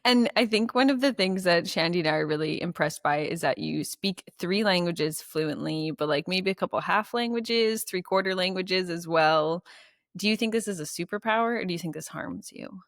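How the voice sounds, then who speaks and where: A woman's voice: on the low side, moderate pace, dry recording, studio quality. A woman co-hosting a podcast, telling stories with animation, laughing often and speeding up as she talks. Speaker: podcast co-host. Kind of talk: casual interview chat.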